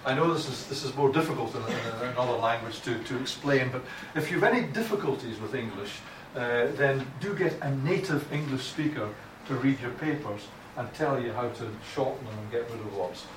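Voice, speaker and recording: A man's voice: medium pitch, fairly quick, few pauses. A Scottish man giving a lecture on academic writing, blunt and informal, often restarting his sentences. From Scotland. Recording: echoey room from far off, noisy.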